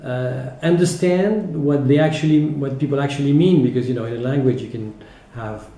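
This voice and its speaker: A man's voice: medium pitch, normal speed, creaky, dark tone. A man with a French accent giving reflective, explanatory interview answers as a teacher, speaking hesitantly and often checking in with a short tag. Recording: echoey, roomy sound.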